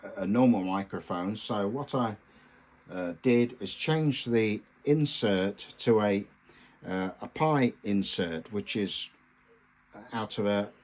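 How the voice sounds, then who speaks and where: A man giving a casual hands-on demonstration as a radio hobbyist host, speaking in a halting, hesitant way with pauses. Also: on the low side, darkish tone.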